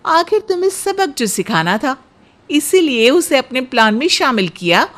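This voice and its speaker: Woman voicing a jealous young cartoon wife, high swooping pitch, possessive, teasing, sharp-tongued. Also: very animated, moderate pace. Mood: smug teasing. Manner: dramatic, sharp-tongued, emphatic. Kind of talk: cartoon storybook character acting.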